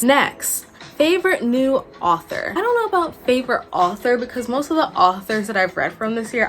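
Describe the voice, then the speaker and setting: A woman's voice: mid-range voice, a bit bright. A woman in her twenties chatting informally and emphatically to camera like a book vlogger. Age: 20s. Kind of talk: casual chat to camera. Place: small furnished room at home, indoors. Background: background music.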